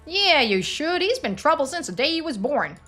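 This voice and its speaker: A woman's voice: high-pitched, big pitch swings, moderate pace, bright, forceful tone, faint music behind, pitched above the performer's own voice, full character voice. A woman voicing a stern, bitter, God-fearing small-town matron with a blunt, judgmental manner in a story game. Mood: scornful.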